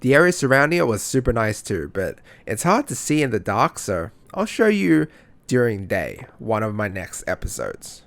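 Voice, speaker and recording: A man's voice: medium pitch, sing-song, moderate pace, creaky. Vlogger man doing chatty voice-over, swears now and then, faint noise. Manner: chatty, self-mocking, swears casually. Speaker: travel vlogger. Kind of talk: casual voice-over narration.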